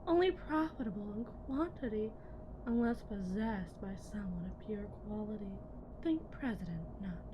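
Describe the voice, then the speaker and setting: A woman playing a cold, condescending lead technician in a scripted ASMR roleplay, speaking in a measured way that drifts into whispers. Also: fairly high pitch, noisy background. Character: cold, condescending female lead technician at shady tech company.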